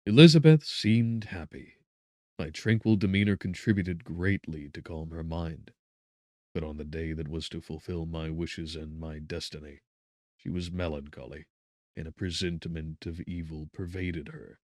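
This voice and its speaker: A man narrating a literary audiobook in a solemn, theatrical manner, with deliberate, emphatic phrasing. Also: low-pitched, a little mellow, dry recording, clean studio sound.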